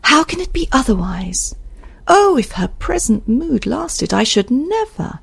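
A woman's voice: high-pitched, very animated, measured pace, gravelly, slightly rough, no room sound, pitched above the performer's own voice, exaggerated voice. A woman voicing an anxious, strong-willed younger lady from a period novel, questioning with urgent emphasis. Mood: worried, insistent.

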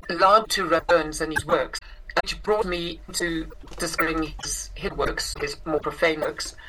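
A South African actress, a guest in an interview, talking in a rambling way with many ums and restarts. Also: female voice, on the low side. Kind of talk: casual interview. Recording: clear background hiss.

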